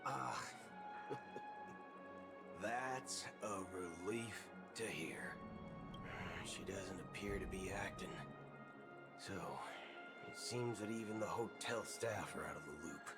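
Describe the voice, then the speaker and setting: A man's voice: lowish voice, slow, pauses often. An actor playing a brash, impatient male gunslinger in a video game, with an emphatic, drawling delivery. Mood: probing. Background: game music.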